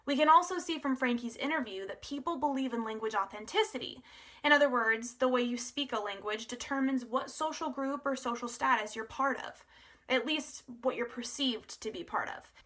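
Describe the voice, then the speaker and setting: A woman's voice: a little high, a bit bright. A woman presenting a prepared academic analysis as a scripted student narration.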